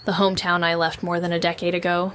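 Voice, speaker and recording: A woman's voice: on the low side, completely flat delivery, fast, a bit bright, close to an everyday voice. A woman playing a wry, self-deprecating young woman back in her hometown, narrating an audio drama in a conversational, sardonic way with rambling asides. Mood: wry, ambivalent. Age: young adult. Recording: noticeable background ambient noise.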